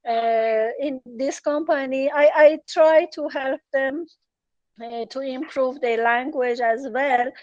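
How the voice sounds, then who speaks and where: Slow, hesitant woman, Farsi native speaker. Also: high-pitched, fairly even intonation, a little mellow, dry recording, highs cut off. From Iran. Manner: hesitant, many fillers and restarts. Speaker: guest, journalist and travel writer. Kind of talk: interview answers.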